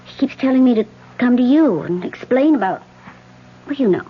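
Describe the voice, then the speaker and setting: A woman's voice: a little high, animated, moderate pace, slightly rough. An actress playing an uneasy woman troubled by dreams, who talks in a nervous, rambling way. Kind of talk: 1970s radio drama acting. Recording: dull old radio broadcast with faint hiss.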